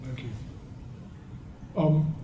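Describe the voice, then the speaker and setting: A man's voice: very slow, dull audio. A man moderating a panel, asking easygoing conversational questions. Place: event room in a library. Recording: echoey room with faint noise.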